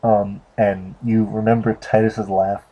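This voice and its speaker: A man's voice: lowish voice, little pitch movement, a little slow, highs cut off. A young man giving casual, unscripted video game commentary in a hesitant, rambling, offhand way. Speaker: let's play commentator. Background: video game music.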